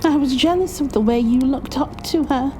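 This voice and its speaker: A woman playing an elegant, passionate vampire noblewoman in an ASMR roleplay, with a formal, earnest and deliberate delivery. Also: sits high, a little mellow, faint music behind, lightly acted. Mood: hurt, stern.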